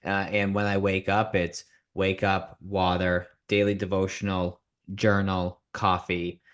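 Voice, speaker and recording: Male podcast host, thirties, low voice, loose and jokey. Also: monotone, a little slow. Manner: candid, jokey, self-deprecating. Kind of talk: casual podcast chat.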